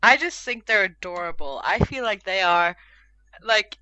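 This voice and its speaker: Woman, bright crisp voice, casual podcast chat, informal, lots of "like". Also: mid-range voice, expressive, unhurried, dry recording, lo-fi sound. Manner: informal, peppers talk with "like". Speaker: podcast co-host. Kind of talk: casual panel chat about games.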